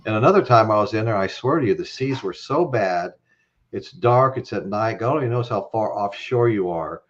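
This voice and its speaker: A man's voice: lowish voice, expressive, normal speed, muffled recording. A man hosting a casual live-stream chat, rambling with lots of fillers and frequent laughs. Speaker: host.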